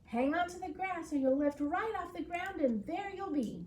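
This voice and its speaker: Gentle grandmother, acted by a woman, wistful, storybook, high pitch, very expressive, faint background noise. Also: normal speed, echoey room, far from the mic. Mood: tender.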